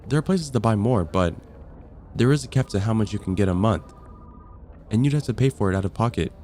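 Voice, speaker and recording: Casting: male actor as a calm, dryly amused vampire caseworker for ASMR roleplay, lowish register, friendly and explanatory, ambient noise behind. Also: a little fast, lightly acted. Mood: matter-of-fact, wry. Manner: calm, explanatory, gently wry. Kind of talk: ASMR roleplay, conversational acting.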